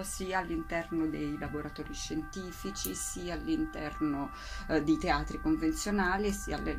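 Low-voiced woman in conversation. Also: low-quality recording. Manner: informal, expressive, self-interrupting. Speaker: actress, interview guest. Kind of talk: conversational interview storytelling.

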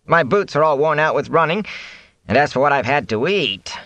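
A man voicing a ragged, wary peddler in an audiobook, whining and self-pitying in a hoarse rasp. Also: mid-range voice, sing-song, unhurried, bright, forceful tone, no echo, muffled recording, voice pushed up, exaggerated voice. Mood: whining, bitter. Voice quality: raspy, hoarse. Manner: whining, jittery, aggrieved. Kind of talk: audiobook character voice.

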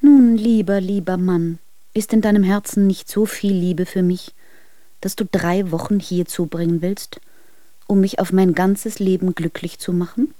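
A woman's voice: lowish voice, fairly even intonation, unhurried, warm tone, dry recording, lightly acted. An actress playing a devoted, yearning woman, reading earnest, intimate love letters in a German radio drama. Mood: pleading.

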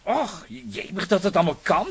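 An actor playing a hesitant, eager-to-please photographer in a radio drama, stammering and deferential. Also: male voice, high voice, very animated, fairly quick, a bit bright, slightly rough, heavily acted. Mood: flustered.

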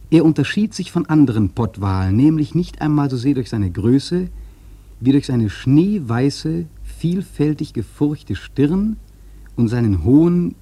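An actor playing a haunted whaling sailor, narrating a literary radio play in a solemn, dramatic manner with deliberate phrasing. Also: male voice, mid-range voice, warm tone, husky, no echo. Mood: awed solemn.

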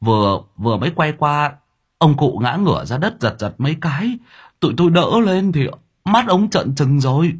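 A man puts on a worked-up boatyard laborer, his voice raised high and loud. He blurts out urgent news. The lo-fi recording sounds dull. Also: animated, moderate pace, husky. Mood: alarmed. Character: agitated male boatyard worker.

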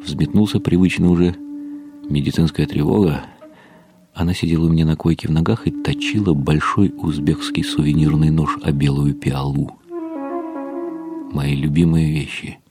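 An actor playing a weary, bitter older husband, narrating a radio drama in a brooding, ironic way with drops into whispers. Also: male voice, a bass voice, fairly even intonation, moderate pace. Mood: wry resignation. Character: weary, bitter husband of an alcoholic, wryly despairing. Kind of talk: dramatized first-person literary narration for radio. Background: faint music.

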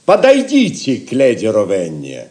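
An actor playing a haughty, vengeful medieval prince in an audio drama, speaking in an imperious, theatrical manner. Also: male voice, fairly low pitch, huge pitch swings, unhurried, darkish tone, a little hiss or hum.

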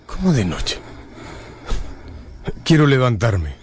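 A commanding middle-aged father in a radio drama. His formal, imperious speech moves widely in pitch, with light music in the background. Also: huge pitch swings, slow. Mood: delirious, agitated.

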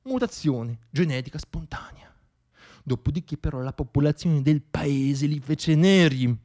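Male monologist, thirties, high voice, theatrical comic storytelling with dramatic shifts. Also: animated, unhurried. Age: 30s. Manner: dramatic delivery, shifting between hushed asides and declamation. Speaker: stage monologist.

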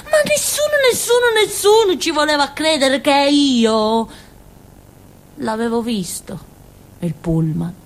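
Childlike southern Italian village simpleton, swooping voice. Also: female voice, high voice, very animated, a little hiss or hum, heavily acted. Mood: insistent, disbelieving. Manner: childlike, halting, giggly, muttering little asides. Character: naive, simple-minded village woman, childlike, excitable, wistful. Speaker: stage actress. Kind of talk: theatrical monologue, colloquial storytelling.

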